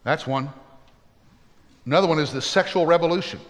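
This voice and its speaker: A man's voice: on the high side, brisk, long reverb tail. A man preaching a sermon as pastor, earnest and plainspoken, with folksy humorous asides.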